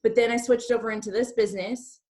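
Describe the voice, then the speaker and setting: A woman's voice: fairly high pitch, steady tone, fast, a little mellow. Chatty woman in her early thirties. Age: early 30s. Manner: chatty, peppered with "like". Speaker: direct-sales team leader co-hosting a training. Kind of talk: informal motivational talk to an online group.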